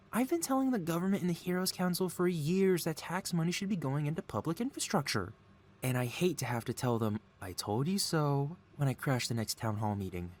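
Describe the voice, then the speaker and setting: A man playing a gleeful, lovesick supervillain mad scientist in an audio roleplay, melodramatic and wheedling with an air of feigned innocence. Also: a little high. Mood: smug. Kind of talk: ASMR audio roleplay, scripted character acting.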